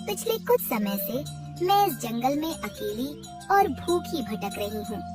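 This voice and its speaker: Lady as a motherly old holy cow in a cartoon, gentle, lilting, music behind. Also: high-pitched, sing-song, unhurried, far from the mic. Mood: tender, solemn. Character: old divine female cow, motherly and solemn.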